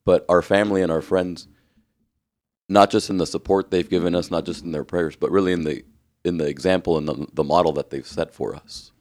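Man, hoarse, raspy, very low voice, earnest, reflective, podcast co-host. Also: steady tone, brisk, a little mellow. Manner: earnest, reflective, restarts often. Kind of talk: casual chat.

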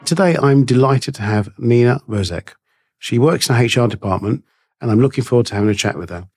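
A man's voice: medium pitch, fast, darkish tone, breathy edge, faint music behind. A man hosting a casual interview, polite and encouraging. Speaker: host.

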